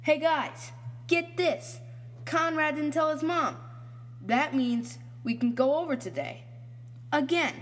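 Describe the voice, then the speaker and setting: A man's voice: very high-pitched, slow, pauses often, fairly bright tone, voice pushed up, full character voice. A man voicing a cruel, cocky schoolboy bully who taunts and mocks in an audio horror story. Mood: mocking. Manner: taunting, mocking.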